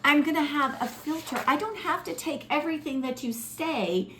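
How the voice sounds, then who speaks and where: A woman's voice: high voice, normal speed, noisy background. A woman coaching couples in casual talk, acting out example dialogue as she explains. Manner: animated, acts out example dialogue. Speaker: relationship coach.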